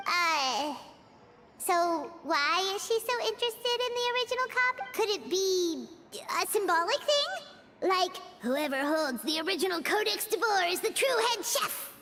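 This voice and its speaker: A woman's voice: very high-pitched, animated, a little slow, big-room sound, music in the background. A woman voicing a tiny, chatty female fairy-like companion who blurts out surprised questions in a game. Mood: curious.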